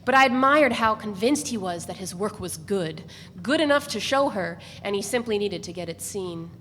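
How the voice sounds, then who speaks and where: A woman reading first-person literary narration aloud in a measured, even way. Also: medium pitch. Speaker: reader. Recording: echoey room with faint noise.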